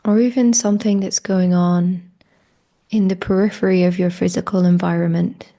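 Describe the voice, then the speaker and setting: A woman leading a guided meditation in a hushed, breathy voice that often drops close to a whisper, with slow, gentle invitations. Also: lowish voice, fairly even intonation.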